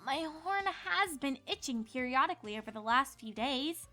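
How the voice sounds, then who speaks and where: A woman voicing a young, bookish and easily exasperated unicorn princess, fretful and expressive, in a cartoon fan fiction audio drama. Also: high voice, moderate pace. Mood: explanatory. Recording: music behind the voice.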